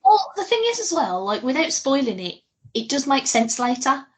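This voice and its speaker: A British woman with a husky voice, co-hosting a podcast in casual, giggly, self-mocking and sweary chat. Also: sits high, big pitch swings, normal speed. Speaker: podcast co-host.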